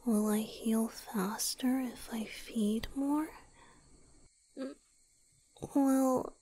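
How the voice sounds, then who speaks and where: A woman voicing a gentle, insecure young male vampire boyfriend in a whispered, hesitant romantic ASMR roleplay. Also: a little high, steady tone, full character voice. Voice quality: breathy whisper with some creak. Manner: hesitant, tender, halting.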